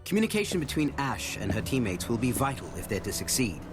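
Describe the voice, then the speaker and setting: An actor playing a male special-forces director in a video game, giving formal, measured, scripted appraisals of his operatives. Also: mid-range voice, big pitch swings, close to an everyday voice. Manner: formal, measured, deliberate delivery. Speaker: game announcer introducing operators. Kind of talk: scripted narration. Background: music.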